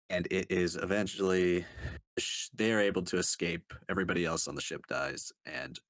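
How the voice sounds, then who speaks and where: A man giving a casual book review as a panelist, speaking in a hesitant, halting way with trailing sentences. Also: low voice, a bit bright, dry recording. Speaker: podcast panelist reviewing books.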